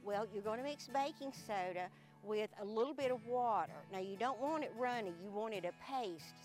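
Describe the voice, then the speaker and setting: A woman's voice: a little high, normal speed, a little mellow, faint music behind. A woman with a Southern accent, telling a story in a casual chat and acting out the people she quotes. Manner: chatty, laughs often, acts out quoted dialogue. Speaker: local interviewee.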